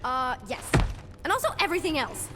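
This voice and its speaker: A woman's voice: high voice, big pitch swings, brisk, big-room sound, background noise. An actress playing a sarcastic, secretive young teenage girl in a video game, with an American accent and a casual, sardonic manner. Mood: nervous, pleading. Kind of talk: naturalistic video game voice acting.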